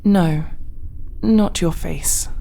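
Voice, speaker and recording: Slow, haughty alien officer, played by a woman. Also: fairly low pitch, creaky, warm tone, exaggerated voice. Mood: exasperated. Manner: curt, condescending, formal and measured. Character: stern, haughty female alien officer, disdainful of humans. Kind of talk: sci-fi ASMR audio roleplay.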